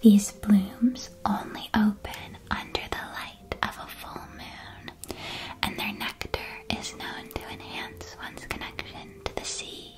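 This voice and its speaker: A woman's voice: medium pitch, little pitch movement, lightly acted, low-quality recording. Woman playing a whispering, friendly magical candy shopkeeper. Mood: gentle, inviting. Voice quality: soft, breathy whisper. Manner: gentle, unhurried, enthusiastic product presenting. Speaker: ASMR performer playing a shopkeeper. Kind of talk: whispered ASMR roleplay, scripted sales patter.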